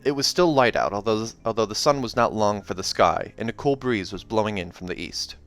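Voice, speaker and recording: A man reading a fantasy novel aloud as an expressive amateur narrator, with casual asides. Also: lowish voice, brisk, faint music behind. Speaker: reader of a fantasy novel, reacting as they go.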